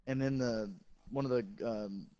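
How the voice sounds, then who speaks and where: A teenage boy, a high school football player, telling stories in a casual, profane and boastful way. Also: steady tone, unhurried, dark tone, clean tone, no echo. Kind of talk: casual storytelling chat. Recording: dull lo-fi sound.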